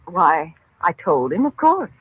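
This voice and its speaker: An actress playing a shaken but plucky middle-aged mother in a radio drama, weary and expressive. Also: on the low side, slow pace, breathy edge, exaggerated voice. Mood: puzzled, anxious. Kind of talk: 1940s radio drama acting. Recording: dull vintage radio recording.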